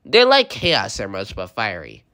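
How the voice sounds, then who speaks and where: A woman's voice: very deep voice, wildly swooping pitch, normal speed, fairly bright tone, voice pushed down, exaggerated voice. A woman voicing a cocky, easygoing young male blue hedgehog hero in an amateur comic dub, with breezy, casual delivery.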